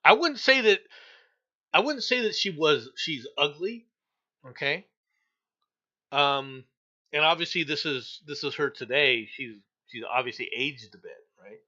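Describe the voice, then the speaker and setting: A man's voice: fairly high pitch, animated, measured pace, long pauses, pushed and bright, lo-fi sound. A male talk show host giving blunt, opinionated news commentary, repeating himself for emphasis.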